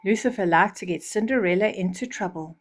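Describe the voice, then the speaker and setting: An older woman reading a children's storybook, pausing to explain things simply as a bedtime storyteller. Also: mid-range voice, faint music behind.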